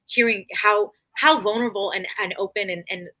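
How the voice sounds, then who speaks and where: A woman's voice: medium pitch, a little fast, pushed and bright, poor audio. A woman guest-hosting a casual chat, effusive and rambling with lots of fillers. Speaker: guest host. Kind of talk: casual conversation.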